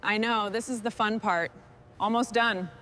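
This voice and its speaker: A woman's voice: mid-range voice, steady tone, a little slow, noisy background. A woman from a restoration crew talking in short, terse workshop remarks.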